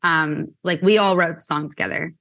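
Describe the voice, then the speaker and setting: Casting: young woman from Canada, musician guest, lower voice, rambling casual chat. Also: fairly even intonation, moderate pace, vocal fry, a bit bright. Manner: chatty, many fillers like "like" and "um".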